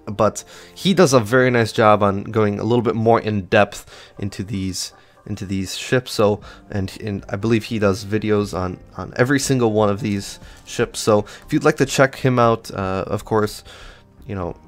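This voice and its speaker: A man's voice: fairly low pitch, steady tone, normal speed, faint music behind. A man hosting a gaming channel, casually walking through ship statistics in a rambling, offhand way with many fillers and restarts. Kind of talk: casual gameplay stats walkthrough.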